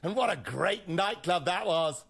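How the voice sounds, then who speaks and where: A harassed university lecturer in a radio comedy. His voice is high for a man and swings widely in pitch. He is brusque, sarcastic and full of bluster. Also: animated, unhurried, gravelly, fairly bright tone, breathy edge, exaggerated voice. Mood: pleading, calling out. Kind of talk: radio comedy drama acting.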